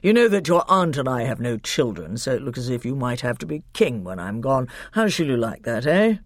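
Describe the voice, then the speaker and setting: A woman's voice: rumbling low, very animated, no room sound, clean studio sound, full character voice. A woman doing a stern, cold king. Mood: dismissive. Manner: stern, slow and commanding. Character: stern, cold middle-aged male king.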